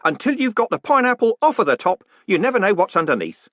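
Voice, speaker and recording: A man's voice: high voice, sing-song, quick pace, a bit bright, dry recording, voice pushed up, heavily acted. A man voicing a cheerful, deferential prison warden who rambles on and calls everyone sir. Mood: chatty.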